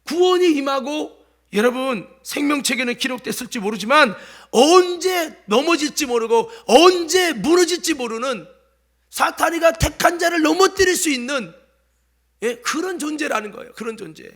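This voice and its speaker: A male pastor with a light Chungcheong accent, preaching a sermon in church. He swings between loud exhortation and hushed asides and keeps quizzing the congregation. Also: extremely high pitch, expressive, normal speed. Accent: light Chungcheong Korean.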